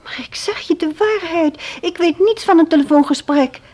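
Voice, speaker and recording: A woman's voice: very high-pitched, normal speed, darkish tone. An actress playing an anxious, defensive woman in a radio play, earnest and hesitant. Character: anxious, defensive woman under police questioning. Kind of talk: Dutch radio play dialogue.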